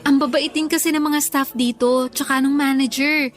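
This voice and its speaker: A woman's voice: sits high, fairly even intonation, moderate pace, natural voice. An actress with a Filipino accent playing an earnest, disillusioned young girlfriend in a radio drama. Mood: fond, relaxed. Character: hurt, disillusioned young girlfriend, a content creator. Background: music.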